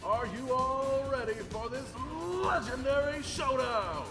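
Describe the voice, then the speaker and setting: High-pitched, showy arena announcer. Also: male voice, pushed and bright, full character voice. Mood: grandiose hype. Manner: grandiose, drawn-out hype. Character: booming, showy male arena match announcer. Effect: stadium reverb. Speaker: stadium announcer. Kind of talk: video game voice acting, arena announcing. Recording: echoing arena reverb, music behind.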